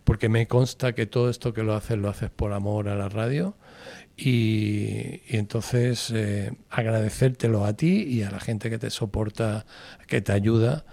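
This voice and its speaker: A gravelly-voiced man, chatting as a guest on a radio show. He talks loosely and swears freely. The sound is close and dry, with no room around it. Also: on the low side, measured pace, dry recording. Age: 60s. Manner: swearing, storytelling, animated when joking. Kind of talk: casual radio chat.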